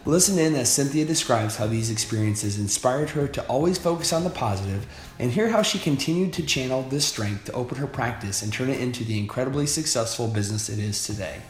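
A man's voice: mid-range voice, expressive, brisk. A man hosting a podcast, reading a scripted introduction and then chatting with a guest, with some hesitant fillers. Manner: warm friendly host, hesitant with fillers when unscripted. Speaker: podcast host.

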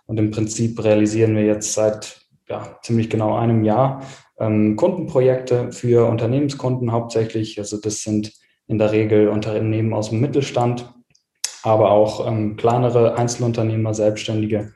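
A man's voice: fairly low pitch, little pitch movement, moderate pace, dark tone, roomy sound. A man, answering interview questions steadily with many fillers. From South Tyrol, Italy. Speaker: podcast guest, agency founder.

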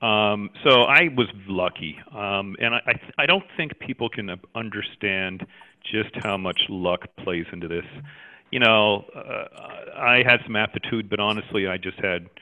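A man's voice: on the low side, measured pace. A middle-aged male cardiologist from Jamaica, raised in Canada, answering interview questions thoughtfully, with many fillers and restarts. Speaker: cardiologist guest. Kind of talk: interview conversation. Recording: tinny phone-like line.

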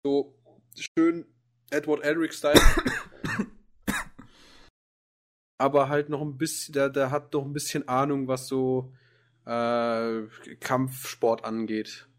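Male podcast co-host, mid-pitched, loose and rambling. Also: flat delivery, slow, pauses often. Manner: rambling, many fillers and restarts. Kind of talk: casual chat about anime.

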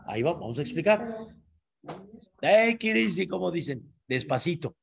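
Rabbi teaching a class, high voice, explains step by step and keeps checking in. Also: male voice, very animated, moderate pace, vocal fry. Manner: explanatory, checks understanding with frequent asides. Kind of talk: Torah class lecture.

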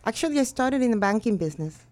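A woman from Cuba, a school owner, answering interview questions in a measured way with some hesitations. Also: on the high side, expressive, darkish tone. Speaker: school owner and director, guest.

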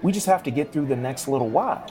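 A man playing a friendly, steady, hopeful American barman, plain-spoken and reassuring, in an audio drama. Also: medium pitch, fast talker, close to an everyday voice. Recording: music behind the voice.

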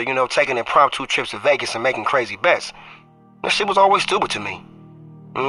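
A guarded young pro football player played by a male actor tells his story as audio drama narration. He speaks at a middle pitch in a hesitant, candid, conversational way, as if confiding in the listener. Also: pushed and bright, no room sound, lightly acted. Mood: candid, frank.

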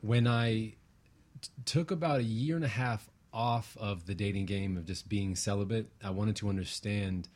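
A man's voice: lowish voice, moderate pace, a little mellow. A man answering as a talk show guest, reflective and conversational, searching a little for his words.

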